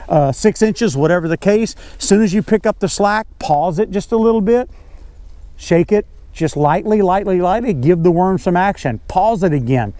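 Higher-pitched older Southern man, folksy. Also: dark tone, no room sound. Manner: folksy, repeats key words for emphasis. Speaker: fishing guide. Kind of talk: informal instructional talk. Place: outdoors on a bass boat on a lake.

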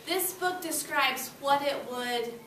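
High-pitched young woman teacher, distant mic. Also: slow. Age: young adult. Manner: encouraging, expressive, teacherly phrasing. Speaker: elementary school teacher. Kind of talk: interactive read-aloud to young children. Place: classroom with children seated on the floor. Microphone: far camera. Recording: roomy, noisy classroom, distant microphone.

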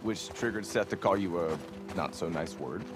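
An easygoing young man in a grounded survival game, acted by a man. His voice sits low, and he talks casually with a wry, deadpan, teasing manner. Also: moderate pace, over music, natural voice. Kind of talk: naturalistic video game acting.

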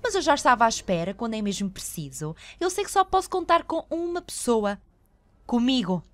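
A woman playing an imperious, high-voiced young diva. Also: sing-song, brisk, a bit bright, dry recording. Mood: self-important, cold. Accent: European Portuguese. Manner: haughty, commanding. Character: selfish, imperious young female theatre star. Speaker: fan dub voice actor. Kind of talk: animated film dubbing.

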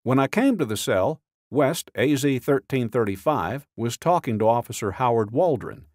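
A man reading a scripted true-crime audiobook in a measured, dramatic storytelling way, close to a studio microphone. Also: mid-range voice, big pitch swings, darkish tone, dry recording. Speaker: audiobook narrator.

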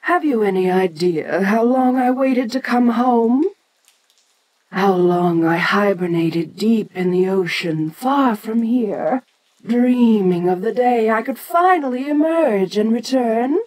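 A woman's voice: on the high side, takes it slowly, darkish tone, poor audio. A woman playing an ancient, domineering giant kaiju queen in an audio roleplay, speaking with imperious condescension. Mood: proud. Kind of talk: scripted audio roleplay addressed to the listener.

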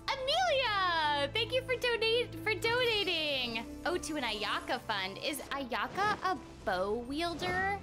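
High, hugely animated young woman streamer. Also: slow pace, hardly any pauses. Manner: excitable, giggly, repeats phrases. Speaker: game streamer. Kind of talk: casual livestream gameplay chat. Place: indoor room at home. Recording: loud game music behind. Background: game music.

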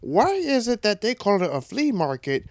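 A man voicing a dim, cheerful young cartoon unicorn pony with a goofy, slow-witted delivery. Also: sits high, wildly swooping pitch, dry recording, studio quality, pitched above the performer's own voice, heavily acted. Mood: dopey, musing.

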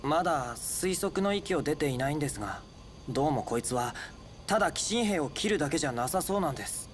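Earnest, higher-pitched boy researcher played by a man. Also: animated, brisk, a little hiss or hum, natural voice. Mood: pensive. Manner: polite, earnest. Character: earnest, polite, thoughtful teenage boy researcher. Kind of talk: anime-style game voice acting. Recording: music behind.

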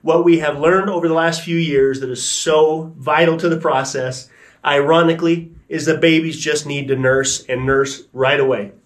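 A man in his forties calmly explaining his goats to camera inside a wooden barn. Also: a little high, normal speed. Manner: relaxed, chatty, matter-of-fact. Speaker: ranch owner and vlogger. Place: wooden barn goat pen.

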